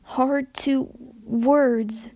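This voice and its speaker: A frightened, confused mare, played by a woman in a dramatic story reading. She mutters in broken fragments, halting between words, her pitch raised and the recording dry and close. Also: fairly even intonation, warm tone, no room sound.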